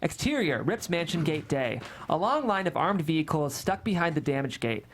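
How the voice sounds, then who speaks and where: Someone reading out script action lines at a table read, middle pitched voice. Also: very animated, moderate pace, slight background noise. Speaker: stage directions reader.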